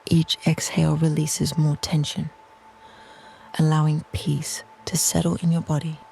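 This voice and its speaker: Gravelly, soft-spoken meditation guide. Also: medium pitch, monotone, slight background noise. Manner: slow, soft, soothing, breathy near-whisper phrasing.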